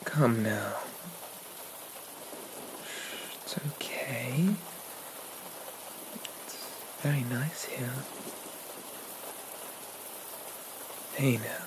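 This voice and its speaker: A man playing a possessive, haughty demon prince of dreams in an ASMR roleplay, speaking softly and breathily with a coaxing, condescending, regal manner and lingering pauses. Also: mid-range voice. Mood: possessive, menacing. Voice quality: soft, breathy, often whispered. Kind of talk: ASMR roleplay, soft half-whispered dramatic monologue. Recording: noticeable rain-like background noise.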